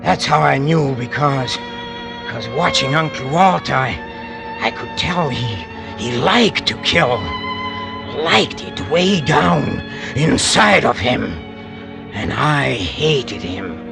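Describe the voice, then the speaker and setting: An actor playing a bitter, cold-blooded hired killer who confesses in a brooding, halting way, in vintage radio drama style. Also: male voice, on the high side, wildly swooping pitch, creaky, full character voice. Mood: brooding hatred. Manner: brooding, halting, repeats himself with menace. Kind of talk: 1940s-50s radio drama acting. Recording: old radio broadcast with music underneath.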